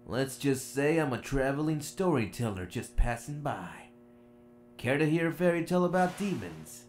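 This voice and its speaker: A man's voice: mid-range voice, sing-song, moderate pace, slight background noise, close to an everyday voice. A man voicing a cocky, sarcastic demon hunter and storyteller in an abridged anime parody. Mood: cocky, inviting. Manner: brash, sarcastic, swaggering. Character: cocky, irritable demon hunter, half demon, laid-back storyteller.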